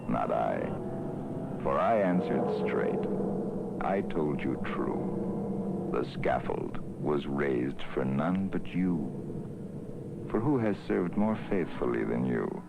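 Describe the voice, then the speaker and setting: Brief: male narrator as a cold, patient hangman; very deep and deliberate, menacing calm. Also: gravelly, very noisy, full character voice. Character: sinister smiling male hangman, coldly patient. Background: orchestral film score.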